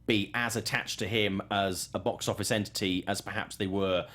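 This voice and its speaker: A man's voice: lowish voice, steady tone, moderate pace, a bit bright. A man hosting a talk show, giving conversational film commentary and thinking aloud as he goes.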